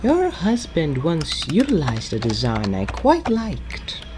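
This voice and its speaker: A woman's voice: deep voice, wildly swooping pitch, takes it slowly, noisy background, exaggerated voice. A woman playing an arch, sardonic renegade time-traveller in an audio drama, with a mocking, drawling, theatrical manner. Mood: sardonic, teasing.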